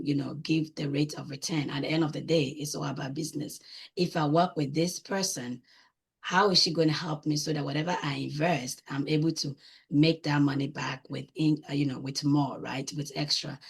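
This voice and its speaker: A woman with a Ghanaian accent and a husky voice, talking conversationally as an interview guest and often checking in with little tag questions. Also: low voice. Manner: frequently tags phrases with "right?". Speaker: guest, coaching client and business owner.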